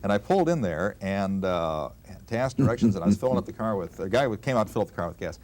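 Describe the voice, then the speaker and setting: Older male ex-announcer, quick anecdotal delivery, animated with quoted dialogue, noisy recording. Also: fairly low pitch. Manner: animated storyteller acting out quoted exchanges. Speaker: former radio announcer. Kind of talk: reminiscing, anecdotal casual chat.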